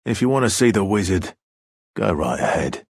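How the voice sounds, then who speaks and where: Deep, very raspy talking door. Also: male voice, very animated, no echo, studio quality, full character voice. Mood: gruff, grudging. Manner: slow, grudging. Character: gruff, world-weary talking door guarding the way. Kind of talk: video game character acting.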